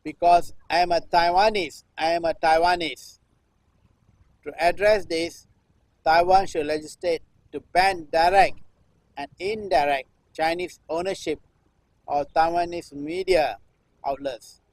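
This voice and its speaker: A man delivers a recitation as performance art. His voice sits a little high for a man and is captured dry, with almost no room sound. He speaks in deliberate phrases and returns to refrains like a chant. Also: steady tone, dry recording, rough recording. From Singapore. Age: 60s. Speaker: performance artist. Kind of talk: live performance recitation of a political text.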